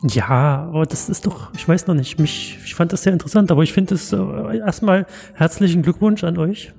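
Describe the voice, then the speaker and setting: A man's voice: sits high, moderate pace, creaky, darkish tone. A man in his thirties from eastern Germany, co-hosting a podcast with a dry, teasing manner, recorded in an echoey room with faint music. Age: 30s. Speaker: podcast co-host. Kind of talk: casual podcast chat.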